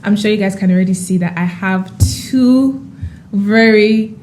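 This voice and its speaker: A young woman hosts a relaxed podcast with a bubbly, laughing manner. Her voice sits in a middle pitch. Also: a little slow, a little hiss or hum. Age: young adult. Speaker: podcast host. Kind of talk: casual podcast chat.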